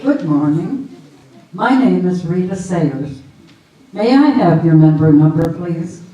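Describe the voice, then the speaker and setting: A woman's voice: lowish voice, expressive, slow, mellow, a little hiss or hum. A woman playing a polite, businesslike customer service representative in a comic readers theater. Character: Rita, polite businesslike credit union phone representative. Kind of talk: readers theater comedy acting. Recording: echoey hall, distant mic.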